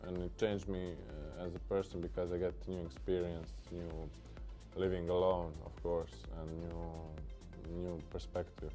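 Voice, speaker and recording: A young basketball player speaking hesitantly and thoughtfully in an interview. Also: male voice, deep voice, flat delivery, a little slow, dark tone, soft background music.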